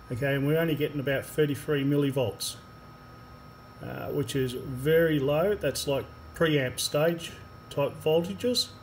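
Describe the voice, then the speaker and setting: Halting male repair technician, noisy recording. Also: a little high. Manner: halting, hesitant delivery with long pauses. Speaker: radio repair technician and presenter. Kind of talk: hands-on repair demonstration, unscripted explanation. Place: indoor workbench in a repair workshop. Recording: clear background noise, workshop ambience. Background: workshop room noise.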